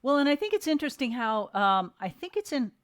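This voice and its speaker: A woman hosting a casual interview, putting questions and comments in an informal, halting way. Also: high voice, very animated.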